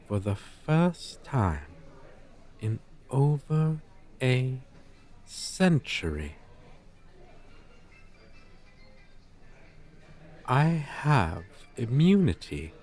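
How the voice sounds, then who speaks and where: A man's voice: medium pitch, a little mellow. A man voicing a proud, centuries-old dragon teacher with stilted, archaic, formal diction in an ASMR roleplay. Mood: musing.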